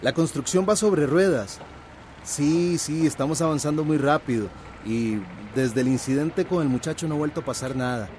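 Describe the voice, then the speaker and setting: A man's voice: a little high, normal speed, a little mellow, close to an everyday voice. Man as an obsequious construction manager, noisy background. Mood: reassuring, smug. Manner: reassuring, obsequious. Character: deferential, smug male construction manager reporting to boss. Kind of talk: radio drama acting.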